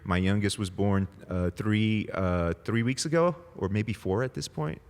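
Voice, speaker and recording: A deep-voiced man in his forties from El Salvador, whose first language is Spanish, talking as a pastor guest. He is chatty and easygoing, full of fillers and laughing asides. His voice rings with a long echo in the room. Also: a little slow, a little mellow. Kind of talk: casual interview conversation. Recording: long reverb, echoey room.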